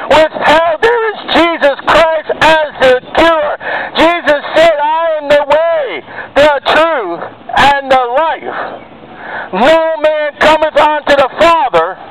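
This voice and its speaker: A man giving a declamatory open-air street sermon in short, emphatic phrases broken by long pauses. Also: extremely high pitch. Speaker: street preacher. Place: outdoors on a street corner by a road. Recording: noisy outdoor street with passing vehicles. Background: street ambience and traffic.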